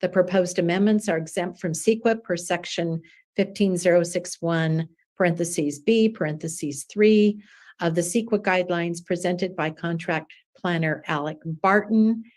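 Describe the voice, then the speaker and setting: A woman's voice: lowish voice, a little slow, darkish tone. Lady commission chair reading out agenda items in a formal way, calls for motions and votes. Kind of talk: chairing a public meeting.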